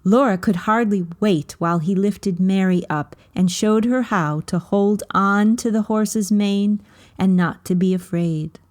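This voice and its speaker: A woman reading a children's novel aloud as storybook narration, with measured, deliberate phrasing. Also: medium pitch, a little mellow.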